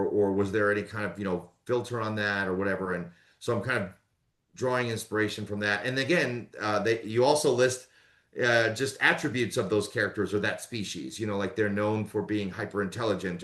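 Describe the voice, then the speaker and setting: A man's voice: on the low side, echoey room. A man who narrates audiobooks, talking casually in an interview and rambling with lots of fillers. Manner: relaxed, rambling with many fillers.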